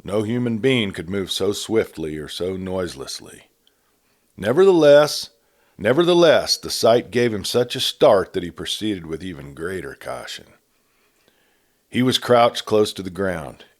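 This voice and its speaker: A man with a very deep voice narrates a Western story aloud. His delivery is measured and steady, like a storyteller by a campfire. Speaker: storyteller reading a novel aloud.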